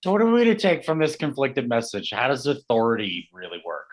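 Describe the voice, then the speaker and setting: A man playing a probing seminar professor with a measured, questioning manner in a staged play reading. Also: medium pitch, huge pitch swings. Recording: slightly dull lo-fi sound.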